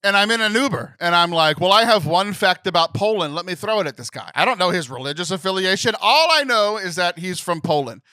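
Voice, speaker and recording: A young man tells a story as a podcast co-host, in a high, bright voice that swoops up and down. He laughs often and slips into voices for the people in his story. The sound is close and dry. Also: animated, a little fast, hardly any pauses, clear, resonant tone, dry recording. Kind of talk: lively storytelling chat.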